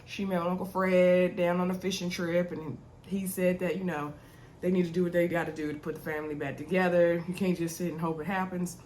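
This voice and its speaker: A woman's voice: lowish voice, flat delivery, a little fast, darkish tone, a little hiss or hum. A young woman with a sore throat and congestion chatting casually to her viewers as she recaps a reality TV episode, with lots of ums and y'alls. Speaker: TV recap host.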